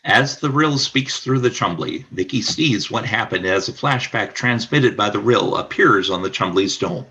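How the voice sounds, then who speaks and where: A man's voice: lowish voice, lively intonation, normal speed, hardly any pauses, sharp tone, breathy edge, muffled recording. A man reading scripted narration for a radio play, describing the action in the present tense.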